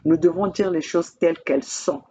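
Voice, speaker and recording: A woman from Congo, addressing her camera as a political activist in an emphatic, repetitive way, halting often on fillers. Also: lowish voice, mellow, breathy edge. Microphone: speaking to own camera.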